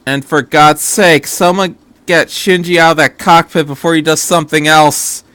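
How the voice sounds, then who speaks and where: A man voicing a weary, commanding female military officer in a story reading, giving brisk, exasperated orders. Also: a little high, fairly even intonation, a bit bright, clean tone, no room sound, voice pushed up, lightly acted.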